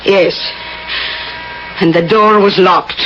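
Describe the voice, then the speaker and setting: A woman's voice: on the low side, slow. An actress playing a tight-lipped, guarded woman who answers tersely in a radio drama. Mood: curt, guarded. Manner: terse, flat, unforthcoming. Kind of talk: 1980 radio drama acting. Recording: muffled, noisy 1980 radio recording.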